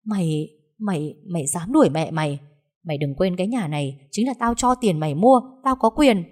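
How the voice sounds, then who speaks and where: A woman's voice: on the low side, lively intonation, a little fast. Woman playing a scolding domineering old mother. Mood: stunned. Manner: scolding, shouting, wailing. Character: older mother, domineering and quick-tempered.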